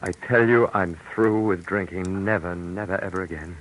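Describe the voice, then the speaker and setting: A man's voice: low-pitched, normal speed, fairly bright tone, slight background noise, low-quality recording. An actor playing a desperate young man on the run, frantic and stammering, in 1940s radio acting. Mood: pleading.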